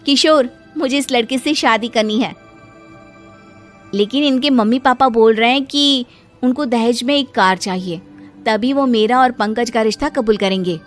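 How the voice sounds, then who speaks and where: A woman's voice: a little high, normal speed, soft background music, voice pushed up, lightly acted. A woman voicing a demanding, spoiled young sister who whines and nags in a cartoon story. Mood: wheedling. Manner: petulant, nagging.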